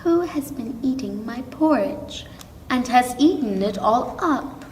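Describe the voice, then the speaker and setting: A woman voicing a small young bear cub in a storybook, in a high voice pushed as loud as it can go. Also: animated, takes it slowly, long reverb tail, a little hiss or hum, low-quality recording. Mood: indignant.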